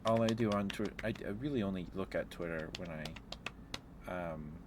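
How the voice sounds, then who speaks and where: A man chatting casually on an ASMR livestream as the host, in a hesitant, halting, chuckly and apologetic way. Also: sits low, background noise. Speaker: live streamer hosting an ASMR stream.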